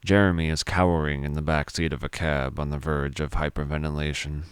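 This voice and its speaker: Extremely deep, smooth male storyteller. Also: little pitch movement, a little fast, dry recording. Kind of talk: scripted dramatic story narration.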